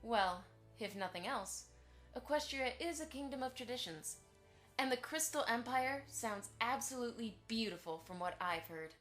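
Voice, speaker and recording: A woman's voice: a little high, expressive, normal speed, fairly bright tone, faint music behind. A woman playing a formal, courteous and pointedly inquisitive pony publisher in a fan audio drama. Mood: polite curiosity. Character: polite, prying pony publisher, a probing female socialite.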